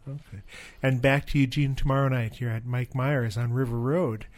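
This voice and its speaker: A man's voice: mid-range voice, steady tone, moderate pace. A man hosting a radio show, interviewing a guest in a casual, conversational style.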